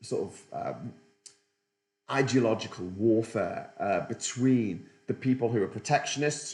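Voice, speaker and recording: A man's voice: lowish voice, a little mellow, reverberant. A middle-aged man hosting a political livestream, rambling sardonically and reading out chat comments. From Britain.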